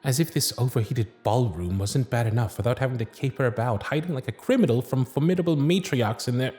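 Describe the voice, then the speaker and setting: A man's voice: mid-range voice, a little fast, warm tone, breathy edge, soft background music, lightly acted. A man playing a wry Victorian lord. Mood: exasperated. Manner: formal period speech, dry and sardonic. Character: young Victorian male lord, wry, proud, teasing. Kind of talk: ASMR roleplay audio drama.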